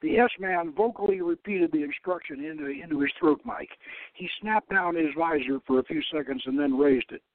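Dry, narrowband radio sound frames a man reading fiction aloud. He hosts and narrates in a dramatic, scripted style with a slightly high male pitch. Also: expressive, normal speed, no echo. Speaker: host reading his own novel.

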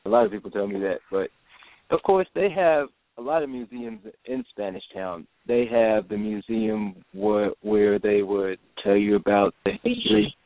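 A man from Jamaica, a guest in an interview, talking haltingly with many restarts. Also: lowish voice, very animated, no room sound. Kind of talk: casual interview talk. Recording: phone line, dry.

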